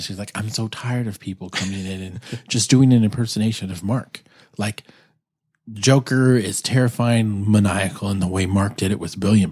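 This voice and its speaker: Husky-voiced man, eager podcast chat. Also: fairly low pitch, a little mellow. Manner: enthusiastic, laughs easily, chimes in. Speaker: podcast co-host. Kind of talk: casual chat.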